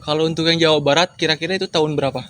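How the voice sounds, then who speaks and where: A man's voice: fairly high pitch, steady tone, a bit bright, a little hiss or hum. A man hosting a casual interview podcast, encouraging his guest and humming along as she talks. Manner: quick, encouraging, lots of backchannel hums. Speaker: podcast host.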